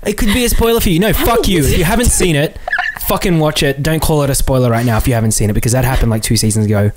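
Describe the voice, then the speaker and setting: A woman's voice: low voice, rapid-fire, background noise. A woman hosting a casual group chat podcast in a brash, teasing manner with plenty of swearing. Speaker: podcast host.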